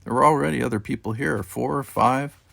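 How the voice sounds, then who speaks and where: A man reading a first-person novel aloud as an audiobook, with expressive storytelling delivery. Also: medium pitch, brisk, vocal fry, no room sound. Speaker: amateur audiobook reader. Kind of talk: expressive scripted first-person fiction narration.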